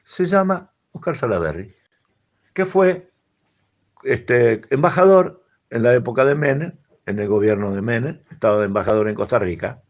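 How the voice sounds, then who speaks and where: An Argentine man, a tango singer, telling an anecdote in an animated, casual way on a radio talk show. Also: medium pitch, moderate pace. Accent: Argentine Spanish. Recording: dull lo-fi radio audio.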